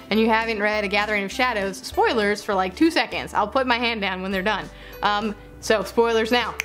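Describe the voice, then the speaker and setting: Woman, fairly high voice, casual chatty vlogger, playful, repetitive gushing phrasing, soft background music. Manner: playful, self-interrupting, gushing with repeated words. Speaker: book vlogger. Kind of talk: casual chatty vlog to camera.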